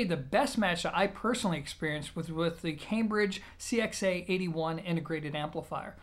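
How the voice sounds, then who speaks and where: A man's voice: a little high, fairly bright tone. A man, hosting a review in a casual, methodical, conversational way. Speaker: audio gear reviewer, host. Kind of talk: casual, explanatory product review to camera. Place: small plain-walled room indoors.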